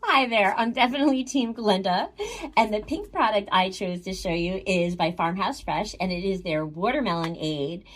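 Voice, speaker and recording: Upbeat woman pitching a product. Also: normal speed.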